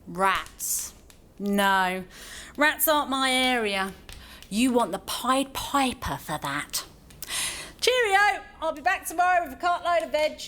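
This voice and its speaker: A woman's voice: high-pitched, big pitch swings, slow, bright, forceful tone, exaggerated voice. A woman playing a breezy, flirtatious village carter in an audio drama, teasing and jaunty.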